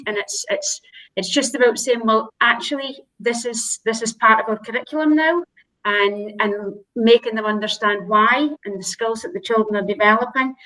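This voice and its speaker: A woman's voice: a little high, a bit bright, poor audio. A woman from Shetland with a Shetland accent, a school leader speaking earnestly on a video call with some hesitant fillers. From Shetland, Scotland.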